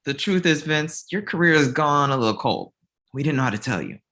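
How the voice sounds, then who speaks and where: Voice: mid-range voice, expressive, brisk, a bit bright. An actor reading the part of a blunt, exasperated male talent manager in a comedy table read. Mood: deadpan, blunt.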